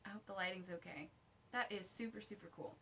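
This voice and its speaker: A woman vlogger talking casually to camera in an unboxing style, slangy and informal, often thinking out loud to herself. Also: medium pitch, a little fast, husky. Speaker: vlogger reviewing her own merch.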